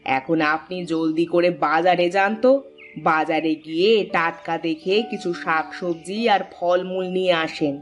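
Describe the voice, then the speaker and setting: A sharp-tongued landlord's wife from a cartoon, played by a woman with a lowish voice. She nags and scolds steadily while music plays underneath. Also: steady tone, measured pace, close to an everyday voice. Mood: scolding. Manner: scolding, nagging.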